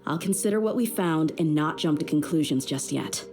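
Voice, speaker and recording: A woman's voice: deep voice, lively intonation, normal speed, darkish tone. A woman voicing a tough, confident young female mercenary with a blunt, streetwise manner in a video game. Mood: puzzled, suspicious. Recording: music behind the voice. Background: game music.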